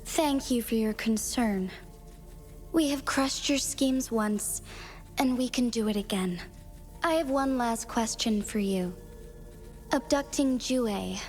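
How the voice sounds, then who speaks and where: Composed, highish-voiced magistrate. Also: female voice, takes it slowly, faint music behind. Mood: coolly probing. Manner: formal, composed, firm. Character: dignified young female magistrate, resolute and guarded protector. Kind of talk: dramatic video game voice acting.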